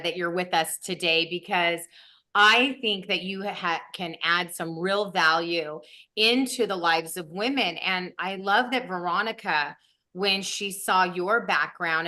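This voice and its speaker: A woman's voice: on the low side, sharp tone. A woman in her fifties hosting a show over a video call, introducing her guest with rambling, enthusiastic praise. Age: 50s. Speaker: host.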